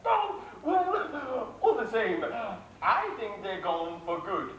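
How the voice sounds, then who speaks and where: A woman's voice: a little high, very animated, slow pace. A woman playing a breezy, scheming pantomime character in an exaggerated theatrical manner. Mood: scheming, dismissive. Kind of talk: broad stage pantomime acting. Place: theatre stage. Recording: distant echoey theatre, music, audience noise.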